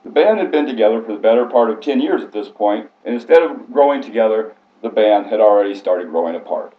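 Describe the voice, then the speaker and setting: A man's voice: lowish voice, expressive, fast. Man reading a speech in an echoey room. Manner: formal scripted delivery with occasional stumbles. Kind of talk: prepared biographical speech, read aloud. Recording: echoey room, slightly muffled sound.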